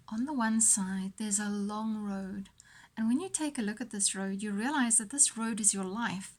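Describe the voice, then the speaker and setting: A woman host leading a guided visualisation in a motivational coaching style, speaking deliberately with pauses and repeated phrases. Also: mid-range voice, darkish tone, slightly rough.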